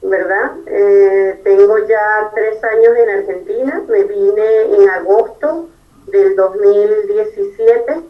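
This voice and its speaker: Venezuelan woman, distant and mellow. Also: mid-range voice, slow pace. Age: 50s. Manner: earnest, detailed, frequent "eh" and "¿verdad?". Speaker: interview guest, engineer. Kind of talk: conversational interview.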